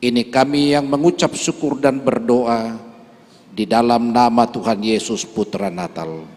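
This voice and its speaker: A man's voice: medium pitch, measured pace, darkish tone. Earnest man praying in a reverberant hall. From Toraja, Indonesia. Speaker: church regional leader leading prayer. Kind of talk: church speech and closing prayer. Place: large church hall.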